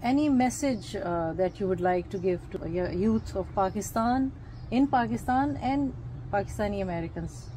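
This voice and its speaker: A woman's voice: mid-range voice, expressive, warm tone, slight background noise. A woman interviewing people outdoors, asking short questions and giving frequent brief acknowledgements. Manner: quick, with frequent short "mm" responses. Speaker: interviewer, host. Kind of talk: street interview, bilingual.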